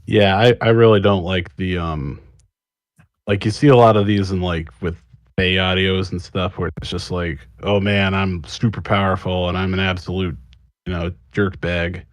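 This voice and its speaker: Low-voiced man chatting casually. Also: fairly even intonation, moderate pace, no echo, clean studio sound. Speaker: panelist, scriptwriter. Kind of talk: casual panel chat.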